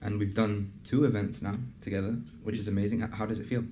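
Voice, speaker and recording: A young man hosting a casual interview and pitching his program in an earnest, conversational way, with plenty of fillers. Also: level tone, quick pace, mellow, a little hiss or hum. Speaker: host and program founder. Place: in a building in a city business district.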